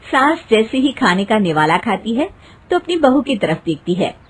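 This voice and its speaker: Husky woman narrating a cartoon family story. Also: on the high side, sing-song, normal speed. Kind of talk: scripted present-tense storytelling for a cartoon.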